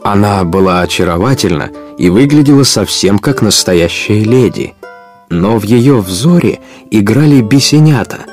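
A male narrator tells an adventure story for a radio play. His voice is on the low side, expressive and literary, with music underneath.

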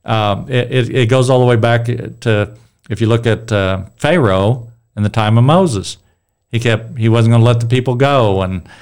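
A man's voice: medium pitch, normal speed, darkish tone, smooth. A middle-aged man with a strong voice leading a casual Bible discussion, rambling and restarting his sentences. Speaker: Bible study leader.

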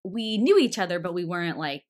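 A woman's voice: on the low side, expressive, fairly quick. A woman in her thirties chatting casually as a podcast guest, giggly and full of fillers. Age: 30s. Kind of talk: casual chat.

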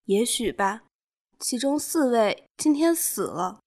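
A woman's voice: a little high, expressive, slow pace, darkish tone, heavily acted. A woman playing an earnest, troubled young woman doctor in an amateur radio drama. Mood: downcast.

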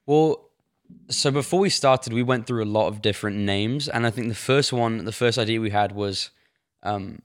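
A man's voice: fairly low pitch, moderate pace. Teenage boy with an English accent. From Sheffield, England. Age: teens. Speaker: podcast co-host. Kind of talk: casual chat.

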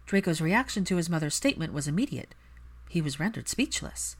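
Woman, story narrator, scripted reading, lower-than-average pitch, animated melody. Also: a little fast, slightly rough. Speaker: audiobook narrator.

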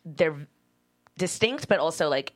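Low-voiced woman, informal and halting. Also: expressive. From Canada. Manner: informal, halting, thinking aloud. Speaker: screenwriter, guest. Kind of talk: casual panel interview.

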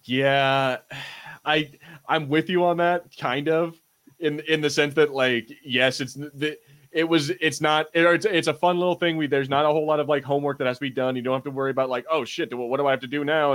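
A man's voice: a little high, steady tone, a little fast, fairly bright tone. A man hosting a podcast, chatting casually about TV shows in an informal way with some mild swearing. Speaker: podcast host.

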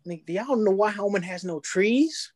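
A young man, telling his story in casual podcast chat, slangy and animated, acting out his past thoughts. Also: sits high, normal speed, no room sound, studio quality.

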